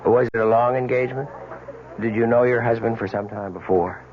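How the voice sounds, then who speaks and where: An actor playing a polite, guarded New York police lieutenant in a radio mystery, questioning in a measured way. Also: male voice, medium pitch, steady tone, natural voice. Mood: probing. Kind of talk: old-time radio mystery acting. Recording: dull, noisy old radio recording.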